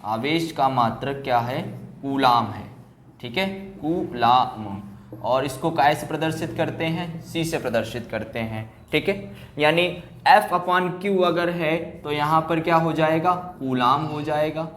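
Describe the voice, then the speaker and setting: Male physics teacher, higher-pitched voice, repetitive classroom dictation with check questions, roomy echo. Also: a little slow, fairly bright tone, slight background noise. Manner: repeats key phrases and asks check questions. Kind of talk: classroom lecture at a board, explaining and dictating. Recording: echoey room.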